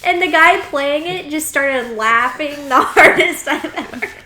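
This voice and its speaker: Brief: female podcast co-host, fairly high voice, casual storyteller, giggly, roomy recording. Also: unhurried. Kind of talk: casual chat, telling anecdotes. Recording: roomy echo with faint noise.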